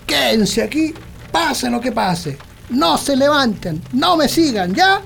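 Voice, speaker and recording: High-pitched, frightened Chilean country father. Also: sing-song, slow pace, creaky, fairly bright tone, slight background noise, exaggerated voice. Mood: tense, wary. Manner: urgent, commanding, exclamatory. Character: frightened, defiant rural father guarding his family with a shotgun. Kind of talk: radio drama acting.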